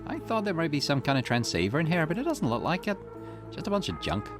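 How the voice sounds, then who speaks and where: Man voicing a musing, wildly animated game investigator. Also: on the high side, quick pace. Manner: musing, questioning, swooping intonation. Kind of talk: visual novel character reading. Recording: music behind the voice.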